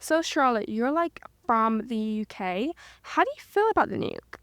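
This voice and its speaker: A woman's voice: fairly high pitch, expressive, moderate pace, fairly bright tone, no echo. A young woman playing a sassy, self-assured podcast co-host with a chatty, condescending manner. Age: young adult. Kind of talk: chatty mock podcast in radio drama.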